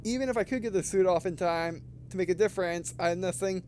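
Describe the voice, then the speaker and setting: A man's voice: high-pitched, fast, a little mellow, a little hiss or hum. A man hosting a gaming video, reading visual novel text aloud with casual commentary and often stumbling and rereading phrases.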